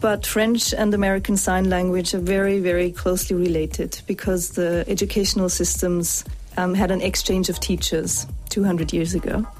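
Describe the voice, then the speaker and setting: A woman linguist answering interview questions in a steady, explanatory way. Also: fairly low pitch, moderate pace, faint music behind, poor audio.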